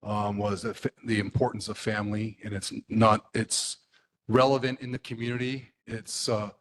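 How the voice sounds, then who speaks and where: A middle-aged man from the US mainland, a neighborhood board member, speaking at a public meeting in a halting way with many fillers and restarts. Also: fairly low pitch, level tone, slight background noise.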